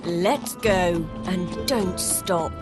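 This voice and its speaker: A woman playing a soft, otherworldly fairy-tale being with a coaxing, drawn-out delivery. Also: lowish voice, music in the background. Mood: coaxing, regal. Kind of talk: fairy-tale audio story acting.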